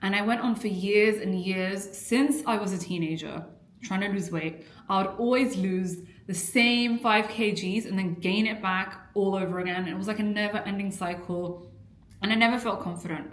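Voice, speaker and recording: A young adult woman from an Iraqi family, a weight-loss coach talking candidly and earnestly to camera in a personal vlog. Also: mid-range voice. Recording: echoey room.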